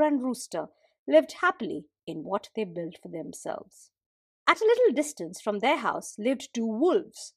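A woman telling a folktale as expressive scripted narration for a storytelling podcast. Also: fairly high pitch, husky, dry recording, clean studio sound.

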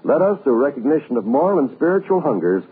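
A man's voice: mid-range voice, sing-song, fast talker, breathy edge. An American man reading a formal, solemn scripted message as a 1940s radio announcer. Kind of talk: 1940s scripted public-service radio narration. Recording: muffled old radio broadcast.

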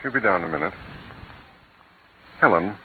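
An actor playing a gentle, patient father and husband with a soothing manner, in 1940s radio acting. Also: deep voice, lively intonation, normal speed, a little hiss or hum. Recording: tinny old radio broadcast.

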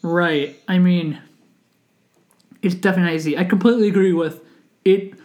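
A young man in his twenties with a notably high voice, hosting a casual podcast chat. He talks earnestly and rambles, doubling back and restarting his sentences as he goes. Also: a little fast, a little mellow. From Wisconsin, United States. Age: 20s. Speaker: podcast host.

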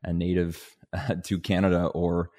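A man in his twenties, originally from Denmark, talking as an interview guest in a relaxed, rambling way with restarts and "you know"s. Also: sits low, a little slow. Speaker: guest, agribusiness vice president. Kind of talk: casual interview conversation.

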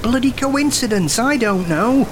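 An actor playing a guilt-ridden brother who sounds exasperated and remorseful. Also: male voice, very high-pitched, animated, a little slow. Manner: exasperated, then hushed and remorseful. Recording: noisy storm backdrop.

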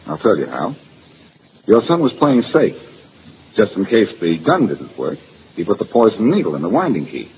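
An American actor playing a brash, wisecracking detective in a 1940s radio drama, punchy and hard-boiled. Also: male voice, on the low side, huge pitch swings, normal speed. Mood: excited, confident. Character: brash, wisecracking amateur detective, tough and confident. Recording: muffled, noisy old radio recording.

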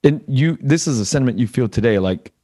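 A man hosts a conversational interview in a soft-edged, mellow voice of average pitch. He asks questions haltingly, often rewording them as he goes. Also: lively intonation. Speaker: interviewer, host.

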